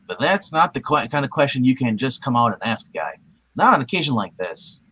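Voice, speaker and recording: Man acting out an excitable, swooping young superhero. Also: medium pitch, a little fast, breathy edge, heavily acted, poor audio. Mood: anxious musing. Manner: exclamatory, swooping, theatrical. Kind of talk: comedic live comic book reading.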